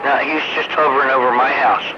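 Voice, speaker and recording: A man chats casually and quickly over CB radio. His mid-range voice comes through dull, with very loud noise. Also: mid-range voice, steady tone. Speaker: CB radio caller.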